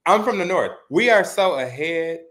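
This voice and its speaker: A man's voice: on the high side, expressive, normal speed, a bit bright. A young man from the Midwest, a guest in a casual chat about reality TV, gossiping and acting out the voices of people he quotes.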